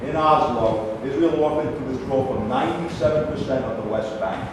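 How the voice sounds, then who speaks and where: A man's voice: medium pitch, moderate pace, close to an everyday voice. A man playing an aggrieved, combative older Israeli debater in a staged play reading, arguing with emphatic indignation. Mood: argumentative. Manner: argumentative, indignant, emphatic declamation. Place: live staged reading in a reverberant room. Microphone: distant microphone. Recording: echoey hall from far off, noisy.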